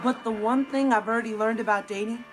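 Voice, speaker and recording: A young American man playing an earnest, excitable, gullible teenage boy in a sitcom, telling stories to the audience in an animated, emphatic way. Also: very high-pitched, fast, music in the background, rough recording. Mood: self-assured. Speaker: sitcom actor. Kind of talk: comedic monologue to the audience.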